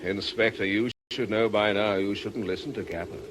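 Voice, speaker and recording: An actor playing an earnest, puzzled country vicar in a British radio drama, polite and hesitant, with a British accent. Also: male voice, lowish voice, steady tone, normal speed, natural voice. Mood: bewildered, protesting. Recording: noisy old radio drama recording.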